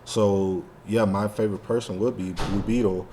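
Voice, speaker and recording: A man's voice: fairly low pitch, steady tone, moderate pace, warm tone, a little hiss or hum. A man co-hosting a casual fan podcast, talking in a rambling, slangy way with lots of "like". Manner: rambling, heavy use of "like" and slang. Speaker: podcast co-host.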